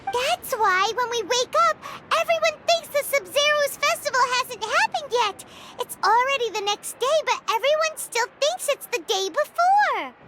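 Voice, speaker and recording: Squeaky, very high tiny fairy girl. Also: moderate pace, hardly any pauses, very noisy. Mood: excited realization. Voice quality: squeaky, childlike. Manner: excitable, speaks of herself in third person. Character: tiny excitable childlike fairy companion, female. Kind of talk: video game cutscene acting.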